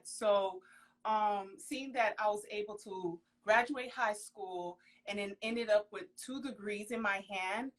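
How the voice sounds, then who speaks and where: A woman's voice: mid-range voice, low-quality recording. A young woman of Haitian background whose first language was Creole, talking earnestly and emphatically as a guest on a casual live interview. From Haitian household, raised in the US. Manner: emphatic, earnest, exclaims often. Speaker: professional model, interview guest. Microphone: phone on a video call.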